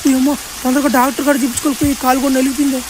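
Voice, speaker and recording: A woman's voice: high voice, level tone, rapid-fire, background noise, close to an everyday voice. A woman voicing a hapless young man in a comic cartoon, pleading and feeling sorry for himself. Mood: bewildered, lamenting. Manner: pleading, lamenting. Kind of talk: comic cartoon dubbing in Telugu.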